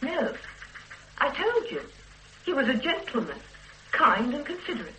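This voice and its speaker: Prim defensive secretary in an old radio play, lively voice, roomy sound with a little hiss. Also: female voice, high voice, a little slow, distant mic, exaggerated voice. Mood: guarded. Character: loyal, defensive middle-aged female secretary. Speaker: secretary being questioned. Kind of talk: 1970s-80s radio drama acting.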